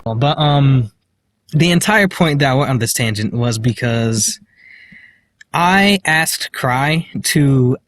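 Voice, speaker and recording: A young man vlogging outdoors into a handheld phone. He tells a personal story in a quiet voice, full of fillers and self-deprecating nervous laughs. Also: medium pitch, animated, takes it slowly. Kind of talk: rambling personal story to camera. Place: outdoors, walking in public.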